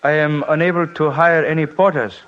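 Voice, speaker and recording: An actor playing a solemn, loyal mountain guide in an old radio drama, speaking formally and deliberately in short clipped sentences. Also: male voice, fairly high pitch, fairly even intonation, a bit bright, lightly acted. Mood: grave. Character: solemn, loyal male Himalayan guide, earnest and fearless. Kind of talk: old-time radio adventure drama acting. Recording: dull, faintly noisy old radio recording.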